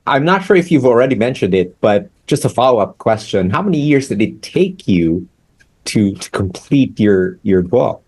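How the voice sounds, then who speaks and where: A man hosting a book interview, asking halting questions with fillers. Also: mid-range voice, sing-song, a little mellow, breathy edge. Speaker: interview host.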